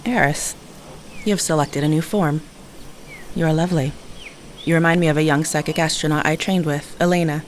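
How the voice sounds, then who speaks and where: A woman playing a composed, motherly mentor in an audio drama, with formal, measured and precise speech. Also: deep voice, fairly even intonation, slight background noise, close to an everyday voice. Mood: gently admiring.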